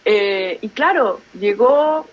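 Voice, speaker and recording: A woman's voice: mid-range voice, animated, takes it slowly, lo-fi sound. A young adult woman from Chile with a Chilean Spanish accent, chatting casually as a podcast guest and laughing easily between thoughts. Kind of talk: casual conversational interview.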